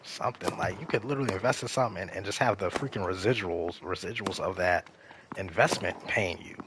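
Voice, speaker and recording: A man in his thirties with a low voice chats loosely while he plays, circling back and repeating his points. The mic picks up a steady hiss and clicking behind him. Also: quick pace. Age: 30s. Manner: rambling, repeats himself. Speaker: gaming streamer. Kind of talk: casual chat while playing a game.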